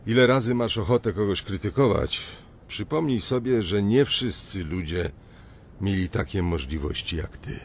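A man playing a gruff, foul-mouthed middle-aged banker in a radio drama, blunt and confrontational. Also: fairly low pitch, moderate pace, slight background noise, lightly acted. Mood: reflective, reciting.

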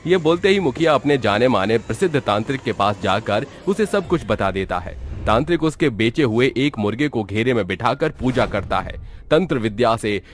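A man delivers dramatic scripted story narration at a medium pitch. Soft music plays behind his voice. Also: animated, normal speed.

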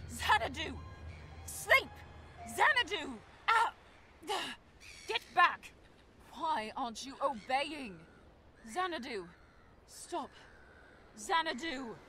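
A woman playing a fierce, commanding female fighter in an audio drama, speaking forcefully. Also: high voice, animated, extremely slow, long pauses, soft background music, heavily acted. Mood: frantic, defiant.

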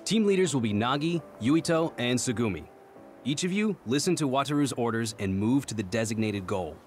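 A man's voice: mid-range voice, animated, a little fast, darkish tone, close to an everyday voice. A man playing an affable, composed military captain in an anime game, easygoing yet authoritative. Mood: commanding. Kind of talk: English anime-game voice acting. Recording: faint music behind the voice.

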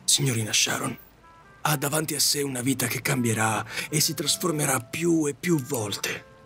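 A man's voice: medium pitch, moderate pace, vocal fry, pushed and bright, soft background music. A man acting an earnest, polite and kindly taxi driver in an audio drama. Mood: earnest, pleading.

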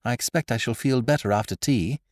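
A man voicing a breezy, chummy young gentleman of leisure who frets easily. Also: medium pitch, brisk, dry recording, studio quality, voice pushed up, close to an everyday voice. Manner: breezy, chummy upper-class banter.

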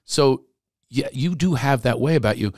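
A middle-aged man hosts a comedy podcast, tossing off quick jokes and self-mocking asides. His voice sits close and dry on a studio boom mic, with no room sound around it. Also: expressive, a little mellow, no echo. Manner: joking, rapid banter with self-mocking asides. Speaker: podcast host. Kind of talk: casual comedic chat. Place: small studio with foam panels. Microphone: studio mic on boom arm, headphones.

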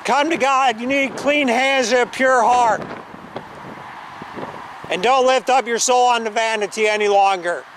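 A man's voice: very high-pitched, measured pace, long pauses, rough recording. A man doing open-air street preaching and then debating a passerby, insistent and earnest, recorded on a camera in a parking lot. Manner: loud, insistent preaching that drops to calmer conversation. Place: outdoor church parking lot. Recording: wind noise on an outdoor camera mic.

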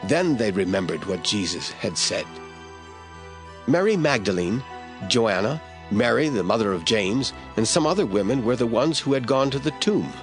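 A man narrating scripture as a scripted audio drama storyteller. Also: lowish voice.

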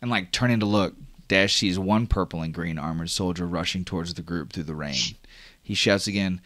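A deep-voiced man narrates a tabletop game as game master. He rambles loosely, full of fillers and cursing. The recording is dry and close to the mic. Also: brisk, a bit bright, dry recording.

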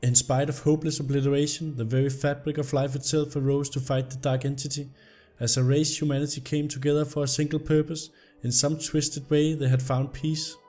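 Slightly hoarse man commenting on gameplay. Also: fairly high pitch, fairly quick, faint music behind. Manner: reads the story text aloud in an even narrating tone. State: hoarse from illness. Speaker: gameplay video host.

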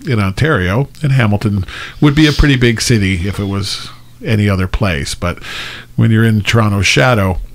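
Low-voiced man hosting, rambling. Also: moderate pace. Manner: rambling, filler-heavy. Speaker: podcast host. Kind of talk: interviewing. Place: small studio. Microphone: studio mic with headphones.

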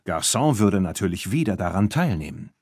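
A man narrating a children's audiobook, reading the scripted story in an expressive storytelling manner. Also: low-pitched, moderate pace, slightly rough, no room sound, studio quality. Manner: expressive storytelling with voiced dialogue.

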